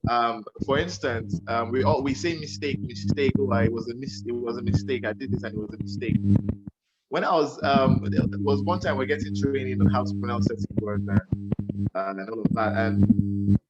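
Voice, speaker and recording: A man's voice: mid-range voice, moderate pace, lo-fi sound, very noisy. A young man with a Nigerian accent, a radio presenter giving earnest, emphatic advice to a young interviewer and often repeating himself.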